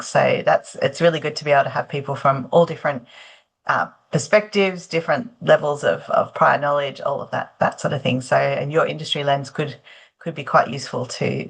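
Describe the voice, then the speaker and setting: Creaky-voiced woman facilitating a meeting. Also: low voice, a little fast. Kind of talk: casual facilitation. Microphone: video call.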